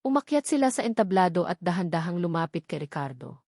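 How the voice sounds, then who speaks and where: A woman's voice: fairly low pitch, big pitch swings, fast talker, a little mellow, no room sound. Filipino woman narrating romance, dry sound. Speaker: story narrator. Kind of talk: dramatic scripted narration of a romance story.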